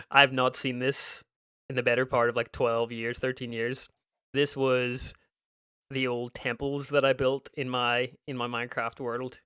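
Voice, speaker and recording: A man's voice: medium pitch, a bit bright, dry recording, clean studio sound. A man in his thirties with a Northern Irish accent carrying a slight American twang, giving casual, meandering gameplay commentary as a gaming YouTuber. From Northern Ireland. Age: 30s. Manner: meandering and reminiscent, frequent restarts.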